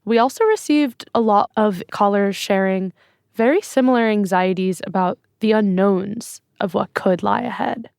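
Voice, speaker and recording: A woman's voice: mid-range voice, moderate pace, vocal fry, a little mellow, clear, resonant tone, no room sound. Female co-host, curious, questioning, dry studio mic. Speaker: podcast producer and co-host. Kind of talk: podcast conversation.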